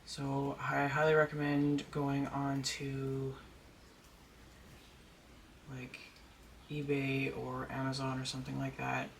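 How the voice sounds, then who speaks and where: A deep-voiced person chatting casually while unboxing a doll at home, rambling and gushing with excitement. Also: slow pace, vocal fry, pauses often. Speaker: doll collector and vlogger. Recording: noisy home recording.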